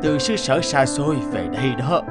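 A man's voice: medium pitch, expressive, normal speed, loud music behind the voice, natural voice. A man voicing an old, trusting king in a fairy tale cartoon, regal and dramatic in manner. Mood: doting. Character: old, trusting king, doting yet quick to anger.

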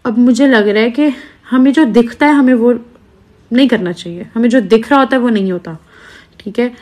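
Young woman, earnest indignant selfie-video talk, fairly high voice, phone at arm's length. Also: normal speed, darkish tone. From Pakistan. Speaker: social media creator. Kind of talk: selfie video complaint to followers. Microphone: phone held at arm's length.

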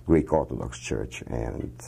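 A man in his fifties from Croatia, answering interview questions in a studio on a lapel mic, halting and measured, with many fillers. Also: very deep voice, creaky, warm tone, a little hiss or hum. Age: 50s. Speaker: economic minister, interview guest. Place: dark television studio.

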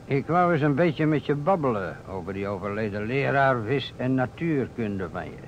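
A man's voice: medium pitch, animated, moderate pace, natural voice. An actor playing a dry, probing police inspector, a man with a curt questioning manner, in an old radio play. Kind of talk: vintage Dutch radio play acting. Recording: dull, noisy old radio recording.

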